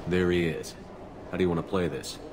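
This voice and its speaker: Man as a low-voiced, commanding military hero. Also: fairly quick, big-room sound, noisy background, close to an everyday voice. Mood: businesslike. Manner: calm, firm, plain-spoken. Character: steady, commanding human special agent hero. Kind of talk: video game voice acting.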